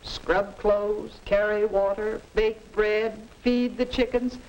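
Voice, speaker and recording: A woman's voice: medium pitch, little pitch movement, slow pace, a little mellow. A woman playing a busy, dutiful American housewife in a 1950 educational film, stagey, as if talking to herself, on a noisy soundtrack. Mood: weary, resigned.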